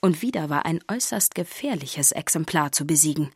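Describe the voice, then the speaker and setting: A woman narrating a children's radio play in a wry, measured storybook style. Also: deep voice, animated, slightly rough, no echo, studio quality.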